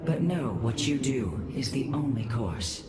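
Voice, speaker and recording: A woman's voice: a bass voice, lively intonation, unhurried, heavily acted. A woman voicing a grave, compassionate alien matriarch in a video game, solemn and formal. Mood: sorrowful. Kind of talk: sci-fi video game cutscene acting. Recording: echoing, with loud background noise.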